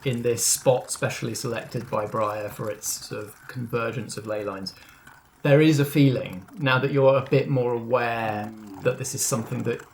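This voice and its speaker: Faint ambient noise sits behind a man running a tabletop game. He narrates off the cuff in a medium-pitched voice. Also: fairly even intonation, normal speed, rough recording. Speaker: game master.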